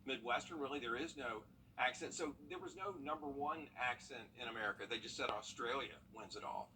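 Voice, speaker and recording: A man's voice: medium pitch, a little fast, sharp tone, recorded from a distance, a little hiss or hum, low-quality recording. A man anchoring local late-night news, reading stories and chatting at the desk in a friendly, easygoing way. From Jacksonville, Florida. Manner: friendly, chatty, laughs easily.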